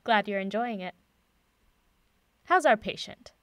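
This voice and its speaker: Brisk female professor, close and dry. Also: medium pitch, expressive, a bit bright, no echo. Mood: wry, teasing. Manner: brisk, wry, decisive. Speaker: voice actor in audio drama. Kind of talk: naturalistic audio drama.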